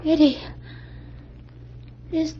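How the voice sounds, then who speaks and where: An actress playing a frightened, guilty wife, fearful and melodramatic in 1940s radio drama style. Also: female voice, extremely slow, dark tone. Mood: terrified. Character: frightened, guilty wife and accomplice, highly strung. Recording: muffled, noisy old-time radio recording.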